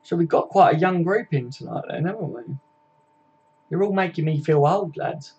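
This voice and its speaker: A man's voice: fairly high pitch, moderate pace, darkish tone, husky, highs cut off. A man, hosting a casual live stream, chatting matily with viewers and laughing easily. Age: 20s.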